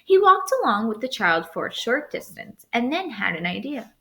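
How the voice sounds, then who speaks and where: Animated woman reading aloud, bright voice. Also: fairly high pitch, moderate pace. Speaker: teacher reading aloud to students. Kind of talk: expressive storybook read-aloud.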